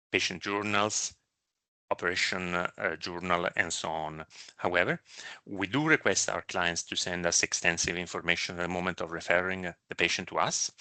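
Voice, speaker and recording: A man speaking as a medical director in a live question-and-answer session, explaining in a measured, careful way with hesitations. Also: low voice, pushed and bright, no room sound.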